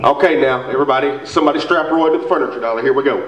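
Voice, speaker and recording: A man's voice: mid-range voice, very fast, very noisy, lightly acted. A man on stage playing a folksy, muddled, blustering small-town basketball coach in a radio comedy, giving rambling pep talks. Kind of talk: staged old-time radio comedy acting. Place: indoor theater stage before an audience. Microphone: stand mic, heard through far camera. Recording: echoey stage hall, distant muffled camera audio.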